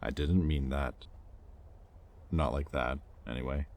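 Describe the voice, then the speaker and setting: A man playing a possessive, conflicted demon in an intimate audio roleplay, with halting, sardonic delivery and secret tenderness. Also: a bass voice, darkish tone, exaggerated voice. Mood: pleading, regretful. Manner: intimate, halting, sardonic, with sighs and muttered curses. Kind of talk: dramatic audio roleplay addressed to the listener.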